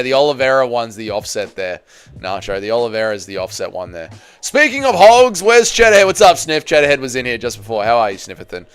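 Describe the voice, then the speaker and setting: A man's voice: mid-range voice, clean tone. A man in his thirties hosting a casual livestream, talking in a blokey, crude, repetitive way to his viewers. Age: 30s. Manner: blokey, crude joking, repeats himself, addresses viewers as boys. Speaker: livestream host. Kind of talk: casual livestream chat about MMA, reading out viewer comments.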